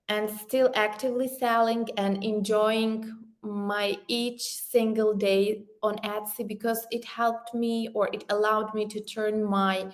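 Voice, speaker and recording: A woman from Ukraine leads an online workshop over a video call. She speaks slowly and carefully at a middle pitch, pausing on fillers and restarting words as she goes. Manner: slow, hesitant, many fillers and restarts. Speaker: workshop presenter, online seller.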